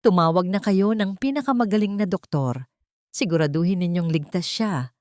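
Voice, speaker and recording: A woman voicing a betrayed young daughter who speaks calmly and with cold command. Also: lowish voice, moderate pace, no room sound, clean studio sound, lightly acted. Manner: calm, commanding.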